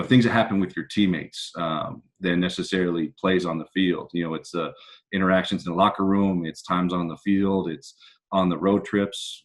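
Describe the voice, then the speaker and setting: An American man speaking as a football coach, answering interview questions in a casual, reflective and halting way. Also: sits low. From California, United States.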